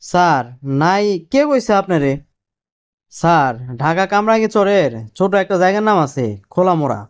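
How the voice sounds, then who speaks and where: A man voicing a cheeky, quick-witted cartoon job candidate who explains things deferentially in colloquial Dhaka-accented Bangladeshi Bengali. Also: high-pitched, animated. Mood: confidently explaining. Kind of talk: comic cartoon dialogue.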